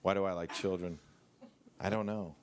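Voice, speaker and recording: A man's voice: sits low, fairly even intonation, normal speed, a little hiss or hum. A man in casual comedic banter, speaking bluntly with swearing and self-deprecation.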